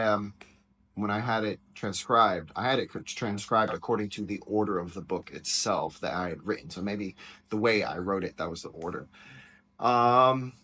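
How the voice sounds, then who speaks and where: A man with a loud, penetrating voice giving candid, rambling commentary as a teacher reacting to his own book. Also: medium pitch.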